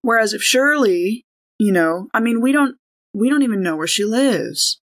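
A woman's voice: on the high side, animated, moderate pace. Casual woman talking through game strategy. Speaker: tabletop game player. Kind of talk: casual in-character tabletop discussion.

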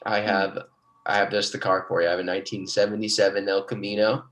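A man's voice: fairly low pitch, flat delivery, fast, a bit bright, natural voice. A man playing an eager, easily flustered used-car salesman, emphatic and stammering, in an improvised comedy scene. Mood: eager pitching.

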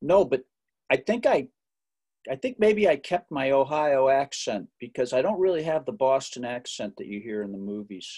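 A man's voice: mid-range voice, expressive, normal speed, darkish tone. Middle-aged man, musician guest, chatty storyteller with dry jokes and lots of restarts. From Massachusetts. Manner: chatty, joking, many fillers and restarts. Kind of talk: relaxed interview storytelling.

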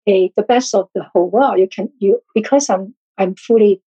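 A woman whose first language is Chinese, talking as an interview guest, halting and restarting with many fillers. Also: mid-range voice, mellow, slightly rough, dull audio. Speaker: guest author. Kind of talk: casual interview talk.

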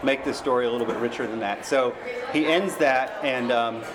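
A man's voice: mid-range voice, moderate pace, crowd noise. An American man being interviewed as a novelist, explaining his work casually in an informal, rambling way. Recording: clearly noisy background.

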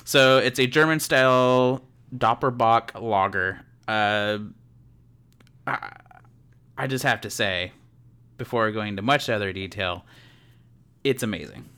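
A man's voice: on the low side, measured pace, long pauses, pushed and bright, clear, resonant tone. A man co-hosting a casual podcast, playful and joking, tripping over words. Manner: playful, laughs often, stumbles on words. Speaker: podcast co-host. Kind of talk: casual chat.

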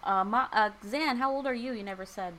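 A woman's voice: on the high side, fairly quick, pushed and bright. A woman in her early twenties co-hosting a casual podcast chat, dry and teasing toward the others. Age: 20s. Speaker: podcast co-host.

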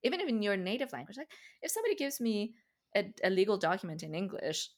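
A woman's voice: medium pitch, big pitch swings, fast. A woman whose first language is Farsi, hosting a casual conversation and talking thoughtfully with light laughs. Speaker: podcast host.

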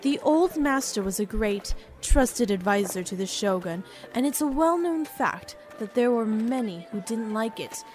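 Cast: chatty upbeat woman sidekick, played by a woman, slightly high, slow unhurried lines, faint music behind. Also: expressive, a little mellow. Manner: explanatory, teasing, upbeat. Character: chatty, cheerful female companion of the swordsman.

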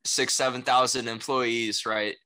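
A man's voice: mid-range voice, level tone, unhurried, pushed and bright, clear, resonant tone. A young man who speaks Mandarin Chinese natively, answering as a casual interview guest in a rambling way full of conversational tags. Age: young adult. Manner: rambling, frequent "you know" and "right?" tags. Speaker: interview guest, salesperson and rapper.

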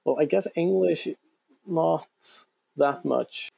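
A young man from Iceland, chatting casually as a guest in a relaxed, rambling way with many fillers. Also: a little high, slow, gravelly, husky, rough recording. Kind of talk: casual interview talk.

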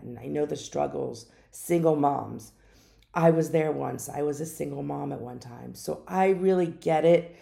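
A middle-aged woman hosting her own podcast, speaking in an unhurried, musing way with some hesitations. She has a naturally deep voice that is hoarse from a lingering cough. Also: dark tone. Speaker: podcast host. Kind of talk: reflective solo podcast talk.

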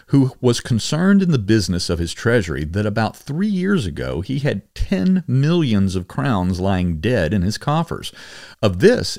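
A man reading historical letters aloud in a formal, measured, oratorical manner. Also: fairly low pitch, a little mellow, no echo, clean studio sound. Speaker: reader and host.

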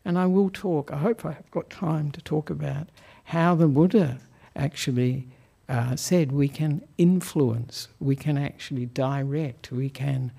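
A soft-spoken Australian man giving a gentle Dhamma talk as a Buddhist monk, chuckling often and pausing on fillers. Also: fairly high pitch, creaky, husky. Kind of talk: relaxed Dhamma talk to a lay audience. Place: meditation hall of a Buddhist society.

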